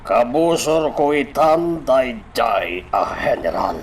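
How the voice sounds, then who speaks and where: Slow-talking, scheming Filipino political boss played by a man. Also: medium pitch, sing-song, slight background noise. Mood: bitter, brooding. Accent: Filipino, Ilocano. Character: scheming, powerful male political boss, haughty and vengeful. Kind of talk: Filipino radio drama acting.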